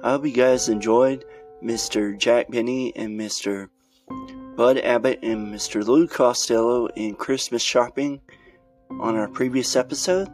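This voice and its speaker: A man speaking as a podcast host, giving a casual introduction to a show. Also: mid-range voice. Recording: loud music behind the voice. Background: music.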